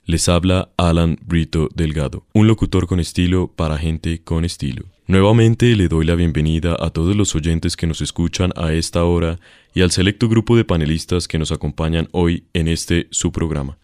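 Man playing a deep, pompous Colombian radio host. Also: normal speed, darkish tone, dry recording, clean studio sound, natural voice. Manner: pompous, formal announcer delivery. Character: vain, self-satisfied radio host with style. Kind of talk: satirical radio play acting.

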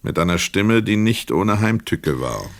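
A man narrating a scripted literary radio play in a measured, sober way. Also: sits low, sing-song, gravelly, full character voice.

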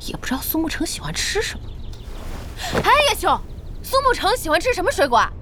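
An actress playing a bossy, warm-hearted, chatty young woman who runs an internet cafe in a radio drama, brisk and expressive. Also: very high voice, slight background noise, full character voice. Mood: flustered, puzzled.

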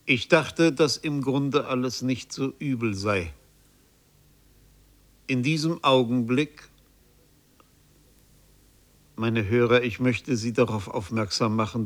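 An actor playing a haunted Parisian bookseller, narrating his fantastical ordeal in a literary, deliberate and intense radio play style. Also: mid-range voice, a bit bright, close to an everyday voice. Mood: resigned, reflective. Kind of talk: dramatic first-person radio play narration.

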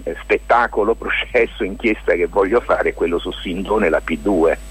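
A man giving a spontaneous radio interview as a guest, speaking haltingly and often circling back to check he is understood. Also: low-pitched, breathy edge. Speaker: lawyer and stage author, interview guest.

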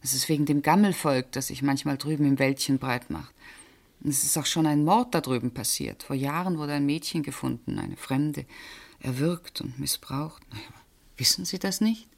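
An actress playing a pointed, suspicious witness under questioning in a radio crime drama. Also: female voice, deep voice, normal speed, creaky. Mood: guarded. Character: pointed, suspicious female witness, friend of the victim. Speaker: actor.

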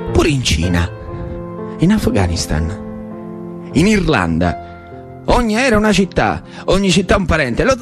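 Roman storyteller, a man with swooping pitch. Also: fairly bright tone. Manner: incantatory repetition and lists. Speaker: actor-narrator. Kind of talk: dramatic storytelling monologue for radio theatre.

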